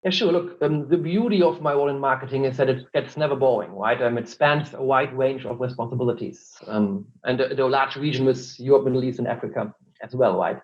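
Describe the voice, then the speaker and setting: A man with a German accent answers interview questions, laying out his points step by step with many fillers. His voice sits at a middle pitch. The recording sounds a little dull and lo-fi. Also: fairly quick. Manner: explanatory, many fillers and "look". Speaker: marketing director, guest.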